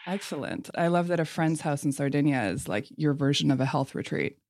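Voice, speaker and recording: A woman hosting a podcast interview, friendly and chatty with quick laughs. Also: deep voice, animated, darkish tone, no echo, clean studio sound. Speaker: host.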